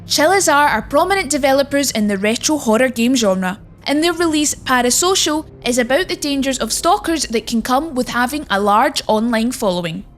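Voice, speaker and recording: A woman presents a scripted countdown in a high-pitched voice. She reads as a narrator guiding listeners through each entry. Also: animated, normal speed, few pauses. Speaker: narrator and presenter of a video game list.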